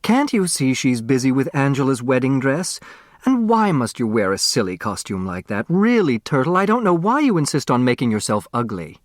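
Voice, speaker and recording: A man's voice: medium pitch, big pitch swings, normal speed, no room sound, studio quality, close to an everyday voice. A man voicing a snobbish, fussy middle-aged mother in an audiobook, with a haughty manner. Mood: irritated. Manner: haughty, scolding, gushing.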